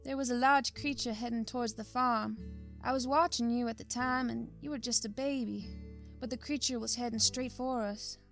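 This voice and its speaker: High-voiced, tender grandmother played by a woman. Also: fairly even intonation, normal speed, vocal fry, fairly bright tone, faint music behind. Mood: tender, earnest. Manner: tender, earnest, reassuring. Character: gentle elderly grandmother who runs a farm. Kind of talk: visual novel voice acting.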